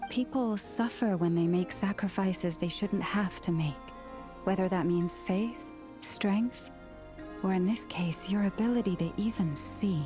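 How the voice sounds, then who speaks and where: A woman playing a poised, caring young healer in a video game, speaking in a measured, articulate, formal way. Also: medium pitch, music in the background, lightly acted. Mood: composed concern.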